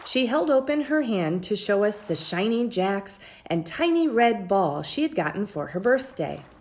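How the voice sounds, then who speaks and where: A woman reads a children's story aloud in a measured, expressive storytelling style, drawing out her phrases. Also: medium pitch. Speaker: storybook reader.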